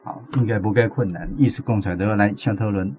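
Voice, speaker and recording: A man's voice: fairly low pitch, quick pace, darkish tone, slight background noise. A man with a Taiwanese accent hosting a language quiz in a conversational way, moderating between contestants and teachers. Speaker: host of a radio language quiz segment.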